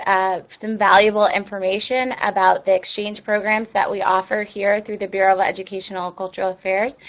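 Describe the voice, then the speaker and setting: A woman hosts an online presentation, pausing often with ums and uhs. Her voice sits at an ordinary middle pitch. She answers viewer questions in a plain, informative way. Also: fairly bright tone, low-quality recording. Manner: reads viewer questions aloud. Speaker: webinar presenter.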